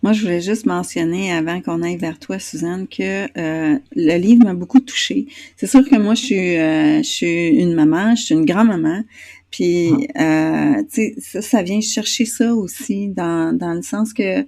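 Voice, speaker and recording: A woman with a Québécois French accent hosting a casual interview, chatty and a little hesitant, pausing on fillers. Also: fairly low pitch, a little mellow, no echo, clean studio sound. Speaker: interview host.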